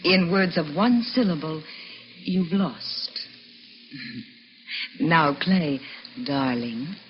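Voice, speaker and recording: An actress playing a sweet wife who is secretly shrewd, in 1950s radio drama style. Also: female voice, deep voice, extremely slow. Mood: coolly triumphant. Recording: muffled, hissy vintage radio recording.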